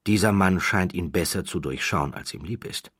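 A man narrating an audiobook as expressive, scripted storytelling. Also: low voice, moderate pace, breathy edge, dry recording, clean studio sound.

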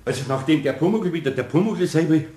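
Man as good-natured older Bavarian master craftsman, radio play; lightly pitched, animated, chuckling, teasing. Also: fast, noisy background, close to an everyday voice. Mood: flustered. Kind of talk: German children's radio play acting.

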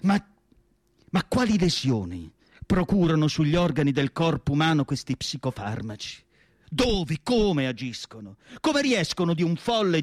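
An actor playing an anguished, indignant and nostalgic asylum psychiatrist in a declamatory radio theatre monologue. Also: male voice, a little high, no echo, clean studio sound. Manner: declamatory, rhetorical questions, emphatic lists. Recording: music playing behind the voice. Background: music.

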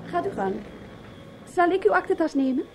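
An actress playing a polite, attentive airport hostess in a radio play, speaking with formal courtesy. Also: female voice, very high-pitched, very animated, moderate pace, heavily acted. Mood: courteous. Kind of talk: Dutch radio play acting. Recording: radio play with noisy ambience.

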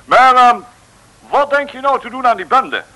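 A breezy, tactless old-radio colonel. The voice is high and bright with animated swoops, full of jovial bluster and patronising chumminess. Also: male voice, moderate pace, full character voice, rough recording. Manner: jovial, patronising, repeats himself. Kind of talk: 1950s radio serial acting.

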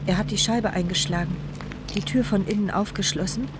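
A woman's voice: mid-range voice, brisk, heavy background noise, close to an everyday voice. An actress playing an anguished, introspective woman who narrates her thoughts in short phrases in a radio play. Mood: terrified. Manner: introspective, speaks in short present-tense thoughts. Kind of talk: dramatic first-person radio play monologue. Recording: studio radio play with background music.

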